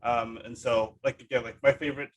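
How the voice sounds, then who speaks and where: A man hosts a podcast in a relaxed, conversational style. His delivery is enthusiastic and rambling, doubling back on words and repeating them to make a point. His voice sits at a medium pitch. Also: brisk, slight background noise. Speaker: podcast host. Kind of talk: casual interview chat.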